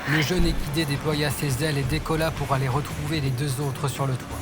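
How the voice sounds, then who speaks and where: A man's voice: on the high side, steady tone, fairly quick, music in the background. A man narrating a scripted French fantasy audio fiction as its storyteller.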